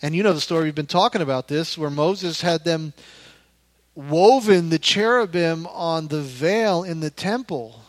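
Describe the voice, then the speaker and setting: Pastor, male, 50s. High-pitched voice, dry close sound, conversational yet emphatic preaching. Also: unhurried, dry recording. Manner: conversational, emphatic, repeats phrases. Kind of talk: informal sermon to a congregation.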